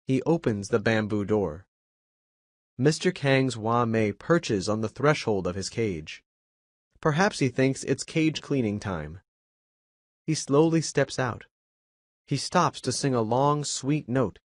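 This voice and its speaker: A man reading a children's story aloud as an English teacher, calm and measured. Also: medium pitch, no echo, clean studio sound.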